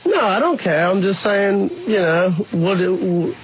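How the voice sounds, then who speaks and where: Awkward, halting high-voiced man guest. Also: big pitch swings. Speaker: studio guest. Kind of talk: radio talk show guest. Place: radio studio. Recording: tinny, noisy radio broadcast.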